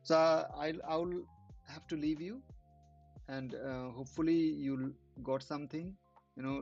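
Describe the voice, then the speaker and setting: A man whose first language is not English, hosting a trading channel and commenting casually on live charts in halting speech with many restarts. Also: medium pitch, creaky, a little mellow, dull audio.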